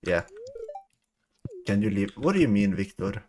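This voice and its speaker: A man's voice: lowish voice, sing-song, a little slow, a little mellow, a little hiss or hum. A man casually chatting and bantering as he streams a video game with friends. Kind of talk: casual chat while gaming with friends. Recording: music playing behind the voice.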